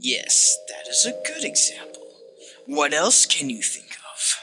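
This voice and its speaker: A man voicing a hateful, condescending machine-like game narrator, delivered as a dramatic reading with deliberate disdain. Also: on the high side, wildly swooping pitch. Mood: cold, hateful. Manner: dramatic, disdainful, deliberate second-person address. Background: music.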